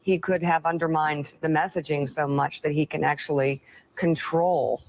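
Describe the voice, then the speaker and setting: A woman speaking in a live TV news discussion, halting and earnest. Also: low voice, fairly even intonation. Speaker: TV news panelist.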